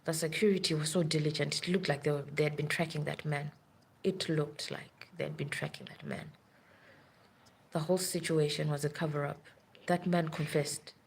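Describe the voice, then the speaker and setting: A woman's voice: low voice, lively intonation, moderate pace, slight background noise. A woman who works as a nurse, telling a long story in a rambling, urgent way on a live stream. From Africa.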